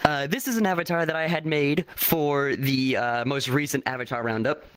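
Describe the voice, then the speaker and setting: A man with a slightly raspy voice from a recent infection, presenting his avatar in an upbeat, casual way. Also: a little high, normal speed, a bit bright, no echo.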